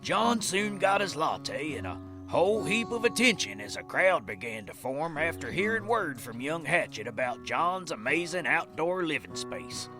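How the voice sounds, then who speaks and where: A man's voice: a little high, normal speed, hardly any pauses, over music. A man narrating a comedy audio drama in a folksy, conversational storytelling style. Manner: animated, folksy, conversational asides.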